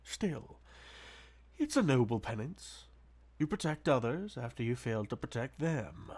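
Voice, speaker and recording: Casting: male actor as possessive, sadistic vampire for ASMR audio drama; silky, taunting, slow delivery with dramatic swings in pitch. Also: very animated, darkish tone. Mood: mocking, condescending. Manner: theatrical, silky, mocking drawl with breathy whispers and endearments. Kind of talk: ASMR audio roleplay, intimate dramatic monologue.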